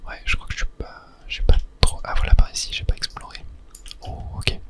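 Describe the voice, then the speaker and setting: A person softly whispering a running ASMR commentary over a video game, in short hushed phrases. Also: a little fast. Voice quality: soft unvoiced whisper. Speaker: gaming ASMR streamer.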